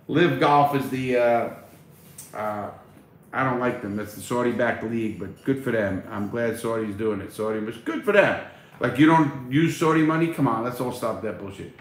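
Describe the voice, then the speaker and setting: Blunt middle-aged man in echoey room. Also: lowish voice. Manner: loose, blunt, swears casually. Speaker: livestream host reading chat. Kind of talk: casual livestream chat. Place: small room at home, indoors. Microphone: phone at arm's length. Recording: echoey room, faint noise.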